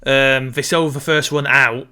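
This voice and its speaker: A man's voice: mid-range voice, steady tone, moderate pace, bright, forceful tone, smooth. A man co-hosting a podcast, talking casually about a film. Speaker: podcast co-host.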